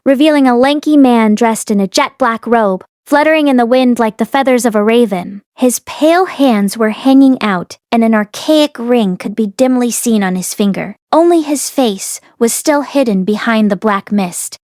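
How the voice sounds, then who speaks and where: A woman's voice: a little high, a little mellow, no echo, clean studio sound. A woman reading a fantasy novel aloud as an audiobook narrator. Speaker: audiobook reader. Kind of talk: expressive storybook narration.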